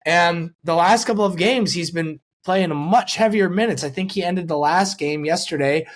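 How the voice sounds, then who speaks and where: Higher-voiced man chatting about sports. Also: normal speed. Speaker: sports podcast host. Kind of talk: casual sports discussion.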